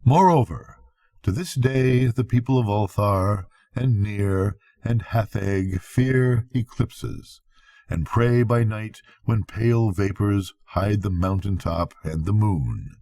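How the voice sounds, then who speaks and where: An older man reads a storybook aloud at a slow pace. His voice is husky and sits at a middling pitch, with solemn, dramatic phrasing in a dry recording. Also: warm tone, dry recording. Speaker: narrator, grandfather reading bedtime stories. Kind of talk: scripted storybook narration.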